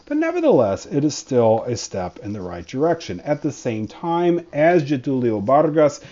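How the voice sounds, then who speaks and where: A man's voice: medium pitch, huge pitch swings, moderate pace, dark tone, highs cut off. A man, a history professor, giving a conversational college lecture with frequent fillers and restarts.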